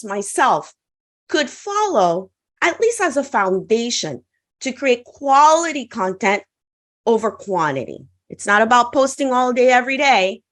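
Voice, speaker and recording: A Caribbean woman, French by first language, coaching an online conference audience. Her fairly high voice swings up and down with lively energy as she walks listeners through her method. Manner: upbeat coaching, asks audience to respond in chat. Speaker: conference speaker.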